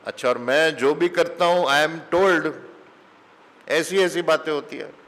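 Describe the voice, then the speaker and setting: A man's voice: fairly high pitch, a bit bright. A middle-aged man giving a conversational sermon full of anecdotes, slipping English phrases into his talk. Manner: slow, mixes English phrases into Hindi. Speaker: spiritual teacher giving satsang. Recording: long hall reverb.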